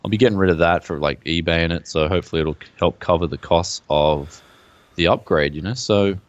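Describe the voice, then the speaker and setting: A man, co-hosting a casual tech chat in a relaxed, rambling way. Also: fairly quick, dry recording. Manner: relaxed and rambling, pitch jumps up when excited. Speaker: co-host. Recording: dull, dry lo-fi sound.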